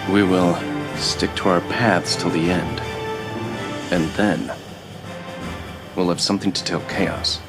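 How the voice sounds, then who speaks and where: A man playing a gruff, determined fighter in a fantasy video game, speaking firmly and steadily. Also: sits low, fairly even intonation, slow pace, pauses often. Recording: loud music under voice.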